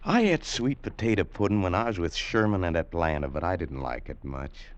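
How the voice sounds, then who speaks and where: An actor playing a boastful, folksy veteran cavalry soldier with an American accent and a rustic drawl, in old-time radio drama style. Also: male voice, sits low, expressive, brisk, dry recording. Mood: easygoing, boastful. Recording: dull, noisy old radio recording.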